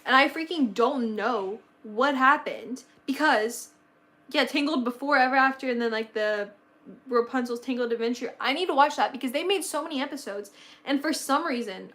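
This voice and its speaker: A woman's voice: a little high, a bit bright. A young woman in her late teens chatting casually on a livestream, bubbly and rambling, her voice a little worn. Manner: bubbly, gushing, rambling with many fillers. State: slightly losing her voice. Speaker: livestream host.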